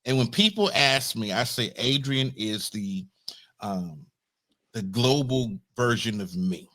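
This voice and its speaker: Bright-voiced American man hosting an interview. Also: medium pitch, lively intonation, slow. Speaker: host. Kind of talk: casual interview introduction.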